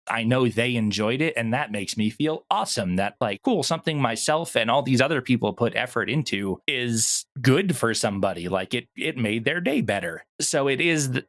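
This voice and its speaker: A man's voice: medium pitch, a bit bright. A man talking casually and enthusiastically as an interview guest, in informal language. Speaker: guest, audio drama producer.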